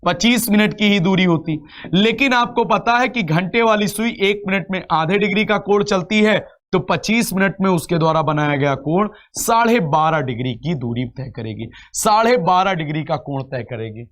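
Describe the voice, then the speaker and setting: A man's voice: high-pitched, expressive, moderate pace, fairly bright tone. A man teaching an exam class step by step, repeating phrases and asking rhetorical questions. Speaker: reasoning teacher for exam coaching. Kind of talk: live online lecture, explaining step by step.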